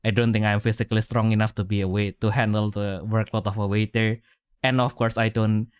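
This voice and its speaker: A man's voice: lowish voice, clean tone, no echo, clean studio sound. A young man from Indonesia chatting casually on a podcast, rambling with many fillers and frequent laughs. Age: young adult. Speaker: podcast co-host. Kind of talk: casual chat.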